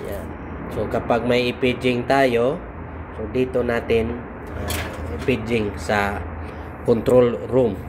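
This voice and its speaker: A Filipino man giving an informal instructional walkthrough, speaking haltingly in short phrases with pauses. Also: mid-range voice, fairly even intonation. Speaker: host of an electronics how-to video. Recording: clear background noise, vehicle-like hum.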